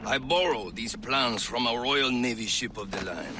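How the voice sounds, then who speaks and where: Game role: male actor as crafty informant, deliberate storyteller, middle register, noticeable ambient noise. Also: medium pitch, lightly acted. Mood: intrigued. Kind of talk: video game cutscene acting.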